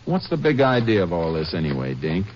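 A man's voice: low voice, very animated, fairly quick. An actor playing a seasoned, fatherly but firm Texas Ranger, plain-spoken in the manner of a 1950s radio western. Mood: stern. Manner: folksy, firm, questioning. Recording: muffled, noisy 1950s radio recording.

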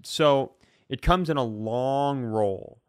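Clear-voiced man telling comic stories. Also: mid-range voice, slow pace, dry recording, clean studio sound. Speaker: comedy podcast host. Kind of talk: casual comic storytelling.

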